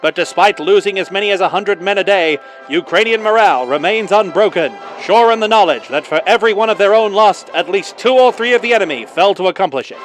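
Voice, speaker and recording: A high-pitched, declamatory wartime newsreel announcer in the 1940s manner, put on by a man. He delivers patriotic narration with rousing drama, and music plays behind him. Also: expressive, quick pace, hardly any pauses. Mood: rousing, defiant.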